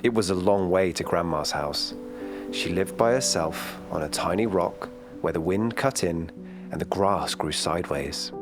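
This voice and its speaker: A man reading a children's bedtime story in a calm, gentle storytelling manner. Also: sits low, a little slow, music in the background.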